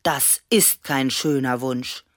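A woman voicing a gentle, gruff, fair-minded male stuffed lion in a children's storybook, calm and mildly reproachful. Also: slow pace, dry recording, clean studio sound. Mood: disapproving. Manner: calm, reproachful, low growl.

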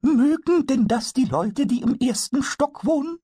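A man voicing a small, mischievous kobold in a storybook reading, excitable and childlike. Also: extremely high pitch, unhurried, husky, no room sound, clean studio sound, voice pushed up, heavily acted. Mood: puzzled. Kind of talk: storybook character voice.

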